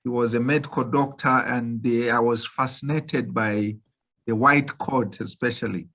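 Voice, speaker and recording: A man in his fifties from Zambia, an obstetrician speaking slowly and earnestly as a panelist on an online video call. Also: mid-range voice, steady tone, pushed and bright. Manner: slow, measured, earnest.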